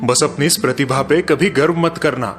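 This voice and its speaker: A man's voice: mid-range voice, normal speed, faint music behind, close to an everyday voice. A man voicing a kindly older father in a cartoon story, approving and full of advice. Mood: proud, cautioning.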